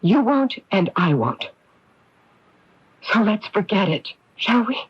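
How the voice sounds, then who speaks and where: An actress playing a middle-aged sister in a radio mystery, sweet on the surface but defensive, with an emphatic, theatrical delivery on a radio broadcast recording. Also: female voice, mid-range voice, big pitch swings, takes it slowly, breathy edge, muffled recording, full character voice. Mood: bitter, defiant. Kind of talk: old-time radio mystery drama acting.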